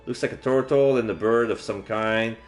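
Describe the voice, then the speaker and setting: A man with a slightly low voice reads game text aloud as a streamer. He mixes narration with casual asides, and faint game music sits behind him. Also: fairly even intonation, moderate pace. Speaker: streamer playing a visual novel.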